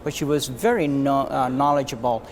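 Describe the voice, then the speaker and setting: A man from Cuba, a physician guest, answering interview questions in an earnest, measured way with frequent restarts. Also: mid-range voice, slight background noise.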